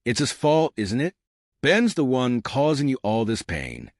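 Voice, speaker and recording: A man's voice: mid-range voice, big pitch swings, unhurried, dry recording, studio quality. A man voicing a cruel, obsessive villain who gloats with menace in an audiobook. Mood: sly, menacing. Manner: menacing, triumphant. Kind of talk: audiobook character acting.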